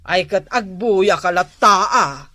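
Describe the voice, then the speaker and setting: A woman's voice: mid-range voice, expressive, takes it slowly, sharp tone, no room sound. A woman playing a nagging, exasperated older wife and mother in an Ilocano radio comedy drama, with an Ilocano accent. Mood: scolding.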